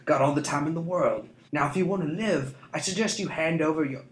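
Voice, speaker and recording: A man's voice: a little high, brisk, pitched above the performer's own voice, lightly acted. A man voicing a harsh, scarred thief who is menacing but cowardly, with a sneering drawl, in a roomy room. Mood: sneering menace. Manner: sneering, rough-edged drawl. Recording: roomy echo.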